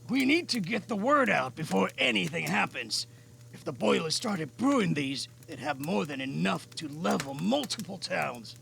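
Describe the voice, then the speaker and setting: A man playing a weary, cautious older mentor in an amateur audio drama, speaking in a measured, grave, gravelly way. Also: fairly high pitch, sing-song, pushed and bright, heavily acted. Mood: urgent, concerned. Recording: noisy amateur mic with clicks.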